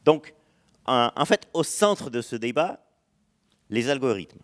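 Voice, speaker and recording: A man's voice: mid-range voice, animated, slow, fairly bright tone. Hesitant male lecturer, not a native speaker. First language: not French. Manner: hesitant, many "euh" fillers and restarts. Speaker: university lecturer. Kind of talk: conference lecture. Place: lecture hall.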